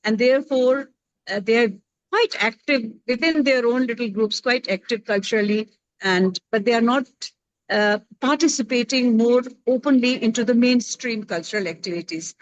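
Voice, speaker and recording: A middle-aged woman with an Indian accent speaking on a panel discussion, thoughtful and pausing often to search for words with many fillers. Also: fairly high pitch, no echo, poor audio. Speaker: roundtable panelist.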